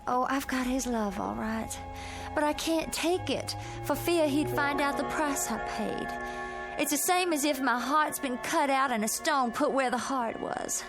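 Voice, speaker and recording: A woman's voice: high-pitched, unhurried, over music. An actress playing a troubled young country woman, pleading and secretive, in a radio drama. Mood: anxious. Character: anguished young country bride-to-be hiding a dark secret.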